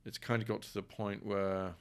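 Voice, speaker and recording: A man's voice: on the low side, little pitch movement, moderate pace, smooth. A middle-aged man with an English accent talking discursively and wryly as a guest in a conversational interview, often repeating words as he thinks. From England. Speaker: professor, podcast guest.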